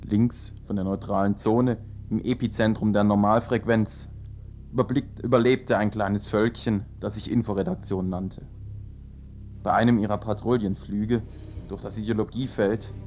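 A man reads a mock sci-fi story with earnest, pseudo-dramatic weight. His voice is on the low side, and faint music runs underneath. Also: fairly even intonation, normal speed, darkish tone. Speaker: narrator. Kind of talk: mock-dramatic radio parody narration.

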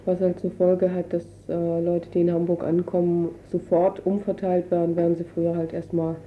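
Low-voiced woman, dull noisy recording. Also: monotone, normal speed. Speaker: interviewee, refugee council representative. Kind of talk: spontaneous interview explanation.

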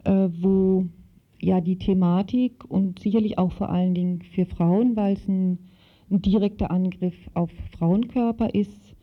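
A woman's voice: medium pitch, steady tone, a little slow. A woman speaking as an activist guest in a radio interview, explaining spontaneously and searching for words. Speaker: interview guest, activist in a women's working group. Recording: dull, lo-fi radio recording.

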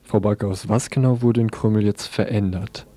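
A man's voice: fairly low pitch, fairly even intonation, normal speed, warm tone. A man presenting a radio news magazine and putting questions to a guest as interviewer.

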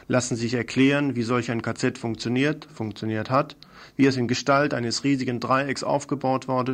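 A man presents scripted news on the radio. His voice sits at a middling pitch and has a noticeable creak and gravel to it.

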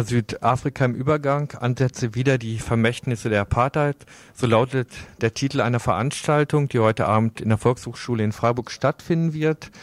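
A man's voice: medium pitch, no room sound. A man reading scripted news items as a radio presenter in a studio.

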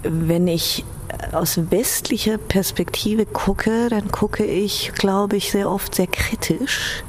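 A woman speaks at a medium pitch as an interviewee, reflecting on personal experience. She searches for her words thoughtfully, and the recording has clear noise with a low rumble. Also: steady tone, takes it slowly, rough recording.

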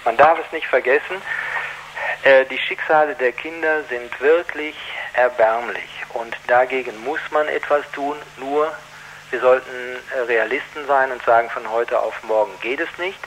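A man gives earnest, considered answers as an activist guest on the radio. His voice sits at a middling pitch and he hesitates as he goes. There is a faint hum and noise on the recording. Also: a little slow, fairly bright tone, rough recording. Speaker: activist guest against child labour. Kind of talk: radio interview, considered explanation.

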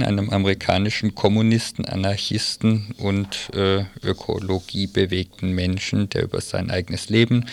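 Halting, gravelly low-voiced man on radio. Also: a little hiss or hum. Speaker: radio presenter reviewing a magazine. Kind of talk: informal spoken review on community radio.

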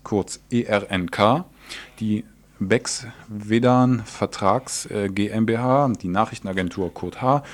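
A man presenting radio news, reading reports and quotations from a script in a somewhat halting, self-correcting way. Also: lowish voice, a little mellow.

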